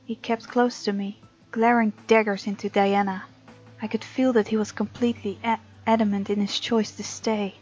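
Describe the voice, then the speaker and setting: A woman reads visual novel narration aloud as a gamer would, informal and expressive. Her mid-pitched voice comes through a slightly dull mic, with game music playing behind it. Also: normal speed, breathy edge. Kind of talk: reading game narration aloud with casual commentary.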